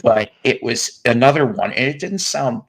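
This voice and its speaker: A man with a raspy, hoarse voice hosting a music podcast, joking and rambling through tangents in casual chat. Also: medium pitch, animated, moderate pace. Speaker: podcast host.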